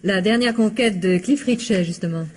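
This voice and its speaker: An actress playing a polite, efficient secretary, prompt and deferential, in a French radio crime drama. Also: female voice, mid-range voice, normal speed, darkish tone, natural voice.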